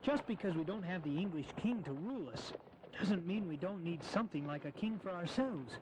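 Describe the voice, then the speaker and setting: An actor playing an ambitious, persuasive young colonel, speaking earnestly and respectfully in a historical audio drama. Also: male voice, sits high, expressive, brisk. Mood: fervent. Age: young adult. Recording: clear ambient noise behind.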